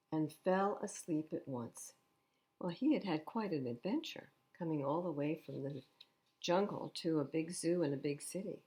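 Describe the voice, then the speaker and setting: A woman reading a picture book aloud to children at storytime, expressively, pausing to explain the pictures in simple words. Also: on the low side, darkish tone. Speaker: library storytime reader.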